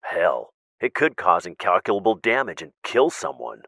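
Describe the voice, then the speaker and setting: Actor as an earnest male inventor, low animated voice. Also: normal speed, creaky, no room sound, studio quality, full character voice. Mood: worried, frustrated. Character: earnest, cautious male weapon inventor, principled and worried. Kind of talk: audio drama acting.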